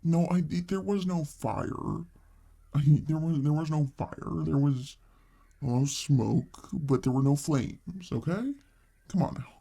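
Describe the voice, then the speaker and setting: A man playing a thoughtful, gently teasing boyfriend on a date in an ASMR roleplay, talking softly in a hesitant, rambling, affectionate way. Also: on the high side, slow, creaky, exaggerated voice. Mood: sheepish, defensive. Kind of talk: ASMR boyfriend roleplay, casual one-sided conversation.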